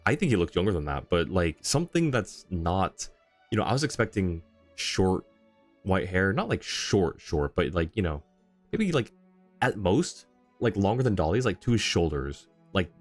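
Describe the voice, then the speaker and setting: A man doing casual live-stream game commentary, rambling and thinking aloud as he chats with viewers. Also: deep voice. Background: faint game music.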